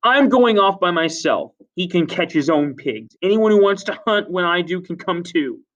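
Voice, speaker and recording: A man voicing a bitter, tearful, defiant boy hunter in a storybook reading, making loud, forceful declarations. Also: fairly high pitch, expressive, moderate pace, recorded from a distance, dull audio, voice pushed up. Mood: hurt, defiant.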